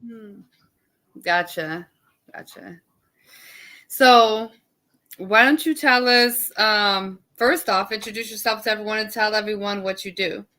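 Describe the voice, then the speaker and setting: Bright, loud-voiced woman podcast host. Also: medium pitch, unhurried, pauses often. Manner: loud, animated, laughs often. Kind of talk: casual chat. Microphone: live stream setup.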